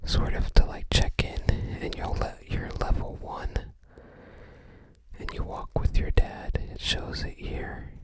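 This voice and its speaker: An ASMR host speaking entirely in a breathy whisper, gently musing aloud in unscripted commentary with trailing phrases. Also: a little slow. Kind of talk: ASMR, unscripted commentary while browsing a game guide book.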